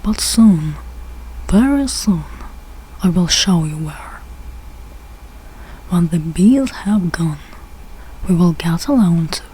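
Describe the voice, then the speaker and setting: Slow, mellow woman reading a children's storybook. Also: on the low side, animated, a little hiss or hum, low-quality recording. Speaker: read-aloud reader learning English. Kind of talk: slow read-aloud of a rhyming children's storybook.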